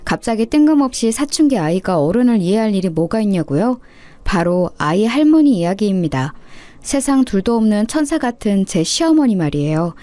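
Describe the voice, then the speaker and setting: A woman's voice: mid-range voice, big pitch swings, normal speed, warm tone, no room sound, faint music behind. A woman reading a scripted first-person story as a narrator.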